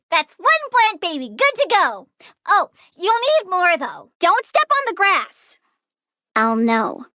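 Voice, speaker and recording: A woman's voice: extremely high pitch, wildly swooping pitch, takes it slowly, pushed and bright, no echo, clean studio sound, full character voice. A woman playing a bratty, morbid young girl plant villainess in a video game, with a childlike, sassy manner. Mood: gleeful, eager. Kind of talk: video game character voice acting.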